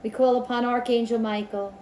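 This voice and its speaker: A woman leading a spiritual circle in a slow, ceremonial manner, with guided meditation and invocations and pauses between phrases. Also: a little high, fairly even intonation, dark tone, a little hiss or hum. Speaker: Reiki circle leader.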